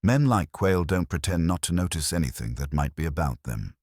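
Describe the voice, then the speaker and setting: Very deep-voiced man narrating a mystery. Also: expressive, fairly quick, a little mellow, no echo, clean studio sound. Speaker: audiobook narrator. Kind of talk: scripted first-person detective fiction narration.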